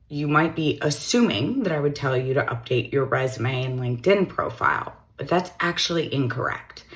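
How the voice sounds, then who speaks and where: A middle-aged woman recruiter with a slightly hoarse voice, giving direct, matter-of-fact job-search advice straight to the camera. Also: deep voice.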